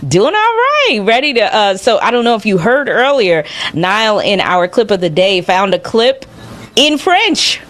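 A woman's voice: medium pitch, sing-song, measured pace, a bit bright. A woman hosting a casual live radio show, chatting in an enthusiastic, encouraging way.

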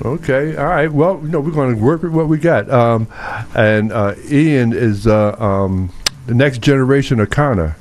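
A man's voice: medium pitch, normal speed, slight background noise. A man hosting a live call-in radio talk show, chatty and conversational, often restarting his words. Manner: conversational, repeats and restarts words, animated asides.

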